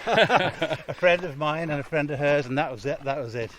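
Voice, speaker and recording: A man's voice: a little high, normal speed, fairly bright tone, a little hiss or hum. A man, a guest musician, chatting casually and laughing often during an interview on an outdoor walk.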